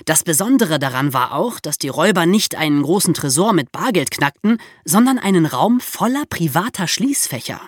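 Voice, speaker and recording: A woman with a fairly low voice that moves around a lot in pitch. She co-hosts a true-crime podcast and explains things like a storyteller, in a dry, close recording. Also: animated, normal speed, hardly any pauses, slightly rough, dry recording. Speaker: podcast co-host.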